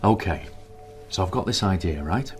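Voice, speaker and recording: A man's voice: deep voice, lively intonation, faint music behind. An actor playing a middle-aged husband and father in a radio drama, dry and wry, later rallying his family with a plan. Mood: enthused. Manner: dry, wry, grumbly at first, then brisk and rallying. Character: greying husband and father, wry, then eagerly inspired.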